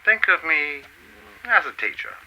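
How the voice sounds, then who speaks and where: An actor playing an enigmatic, otherworldly male teacher in a radio play, speaking in a calm, cryptic, formal and measured way. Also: a little high, slight background noise, heavily acted, poor audio. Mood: cryptic, lofty.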